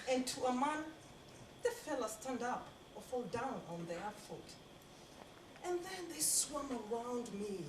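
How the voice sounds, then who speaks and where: A young woman giving a bold, expressive dramatic poetry recitation with emphatic pauses. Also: on the high side. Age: young adult. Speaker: student performer. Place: indoor college event room. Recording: echoey noisy room, distant mic.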